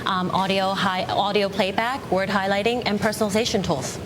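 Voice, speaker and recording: American woman in her 30s, guest on a business show, normal pitch, noisy rumbly background. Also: steady tone, a little fast. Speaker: guest entrepreneur, company co-founder. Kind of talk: broadcast business interview answers. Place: open TV studio beside a newsroom. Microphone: lapel. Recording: clear background rumble and noise.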